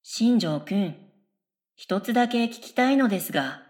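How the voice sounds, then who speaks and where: A woman playing an oddly polite, daydreaming middle-school girl in a radio comedy, musing absurdly in earnest, deadpan, polite speech. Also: medium pitch, normal speed, lightly acted. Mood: earnest, insistent. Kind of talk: scripted radio comedy dialogue.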